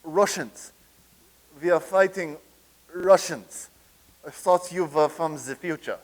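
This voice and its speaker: A man's voice: a little high, takes it slowly, low-quality recording. A man reading the part of a flustered soldier from a past war in a staged comedy reading, stammering with nervous restarts.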